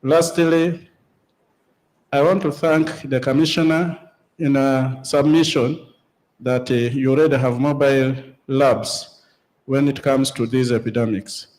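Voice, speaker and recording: A man with a Ugandan accent speaks from the floor of a conference hall. His appeal is formal and measured, with pauses between his points. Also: mid-range voice, steady tone, darkish tone. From Uganda, West Nile. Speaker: district chairperson.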